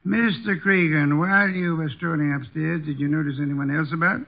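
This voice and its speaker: An actor playing an imperious, testy armchair detective in a 1950s American radio drama, speaking formally and pompously with precise diction. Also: male voice, a little high, steady tone, a little fast, natural voice. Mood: commanding. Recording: dull lo-fi vintage radio recording.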